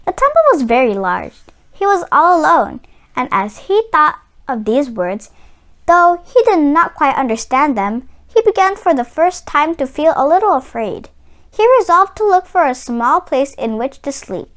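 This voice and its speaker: Female storybook reader for children: high pitch, very animated melody, dramatic emphasis on key words. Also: normal speed. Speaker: children's read-aloud host. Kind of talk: expressive storybook read-aloud for children.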